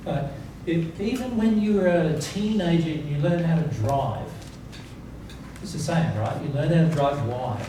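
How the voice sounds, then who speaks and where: A man's voice: fairly high pitch, lively intonation, unhurried. A man teaching spiritual principles informally to an audience, emphatic, repeating key words and asking rhetorical questions to check they follow. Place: reverberant seminar room with audience. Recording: very echoey, noisy room, distant mic.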